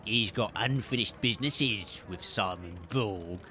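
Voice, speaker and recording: A man's voice: on the low side, unhurried, bright, forceful tone, a little hiss or hum. A man playing an officious, commanding raider henchman who declaims in a comic audio drama. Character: officious male raider henchman, heralding and threatening.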